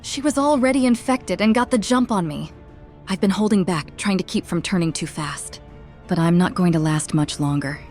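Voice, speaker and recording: A woman's voice: fairly low pitch, moderate pace, a little mellow, soft background music, lightly acted. A woman acting a scrappy, cheeky young female lemur in a comic dub, breezy and slangy. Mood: brave, resigned.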